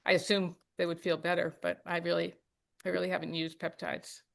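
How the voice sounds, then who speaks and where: A woman's voice: lowish voice, little pitch movement, brisk, gravelly, fairly bright tone. A woman hosting a webinar on a video call, talking informally and hedging her answers. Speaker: medical director hosting a webinar.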